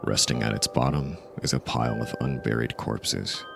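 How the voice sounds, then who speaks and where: Very deep, gravelly male narrator. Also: fairly even intonation, normal speed, over music. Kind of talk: scripted narration of dark fiction.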